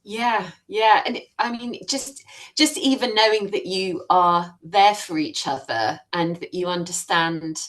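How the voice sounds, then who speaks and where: A woman with a slightly low voice leads a church testimony interview. She is encouraging and affirming, and she pauses often on fillers. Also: low-quality recording. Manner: warm encouraging, many fillers.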